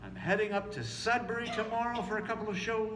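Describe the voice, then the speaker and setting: High-voiced Canadian man, echoey stage patter. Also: sing-song, fast talker, background noise. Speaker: musician entertaining an audience. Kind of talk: stage patter between songs. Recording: echoey live room with guitar.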